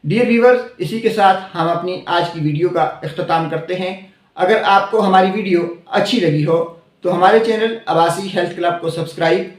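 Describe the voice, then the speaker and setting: A man's voice: high voice, normal speed, echoey room. A man hosting a health channel, explaining a herbal syrup to viewers and repeating the main points. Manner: repeats key points, addresses viewers directly. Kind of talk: scripted product explainer.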